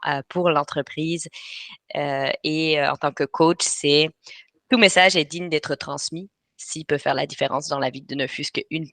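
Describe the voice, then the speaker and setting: A woman from Brussels hosts an online writing workshop in a bright, forward voice with a Belgian lilt. She speaks in eager bursts full of fillers and self-corrections. Her sound is close and dry, like a video call from a small home office. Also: low-pitched, gravelly, no echo. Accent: Belgian French. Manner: talks in bursts with many fillers and restarts. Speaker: writing coach and webinar host. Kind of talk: live webinar presentation.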